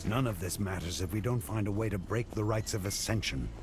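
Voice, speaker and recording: An actor playing a grim, determined warrior in a fantasy video game, terse and stern. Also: male voice, on the low side, a little fast, noisy background, lightly acted. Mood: grim resolve. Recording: long reverb, loud music. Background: game music.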